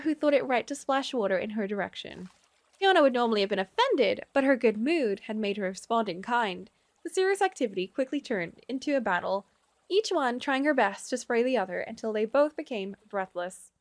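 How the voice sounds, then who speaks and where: High-voiced woman chatting and narrating over music. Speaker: livestream host reading a visual novel aloud. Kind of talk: casual chat and read-aloud story narration. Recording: background music.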